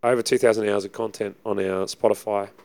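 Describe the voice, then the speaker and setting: A man, husky from preaching, chatting casually and rambling as an assistant pastor co-hosting a podcast. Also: on the low side, fairly even intonation, brisk, a little mellow. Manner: easygoing, rambling with many fillers. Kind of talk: casual chat.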